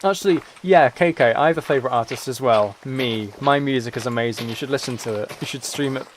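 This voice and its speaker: A man with a mid-pitched voice rambles casually through a gaming livestream. He sounds tired, often breaks off and restarts as he answers chat, and clicks and noise run clearly behind him. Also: fast, rough recording. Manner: rambling, self-interrupting, replying to chat comments. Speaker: livestreamer and musician.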